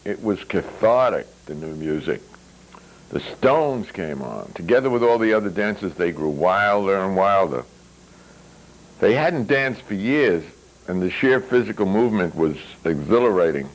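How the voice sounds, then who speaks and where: Very low-voiced man reading fiction. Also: very animated, moderate pace, low-quality recording. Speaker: author reading their own novel. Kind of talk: literary reading with spoken asides.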